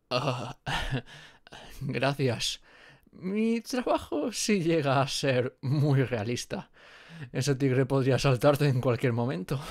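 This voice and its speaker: A man playing a nervous, gentle gorgon who works as an artist, with a hesitant, flustered way of talking in an audio roleplay. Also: mid-range voice, no echo, studio quality. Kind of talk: dramatic ASMR roleplay monologue.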